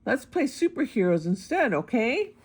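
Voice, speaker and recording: Woman voicing an excitable little monster boy, high-pitched. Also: moderate pace, breathy edge, heavily acted. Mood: eager. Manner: childlike, eager, speaks of himself by name. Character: small, excitable, affectionate young monster boy. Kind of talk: children's picture book read-aloud.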